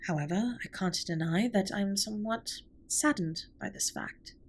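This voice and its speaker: A woman playing a formal, caring alien spaceship captain in an intimate audio drama, speaking in a measured, precise way with a breathy, husky voice. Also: mid-range voice, a little hiss or hum, lightly acted. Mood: concerned, earnest. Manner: formal, measured, precise wording with few contractions. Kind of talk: scripted roleplay audio drama, intimate comfort monologue.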